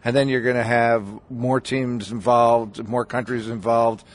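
A man's voice: medium pitch, little pitch movement, unhurried. A man speaking in an ordinary middle register, guesting on a radio talk show. He talks loosely and at length, often restarting his sentences and filling the gaps with "uh" and "you know.". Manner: rambling, many restarts and fillers. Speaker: baseball writer, guest. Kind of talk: casual radio interview chat.

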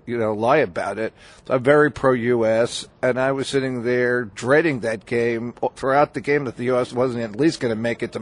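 Rambling man talking baseball on radio. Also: mid-range voice. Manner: rambling, many restarts and fillers. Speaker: baseball writer, guest. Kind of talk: casual radio interview chat.

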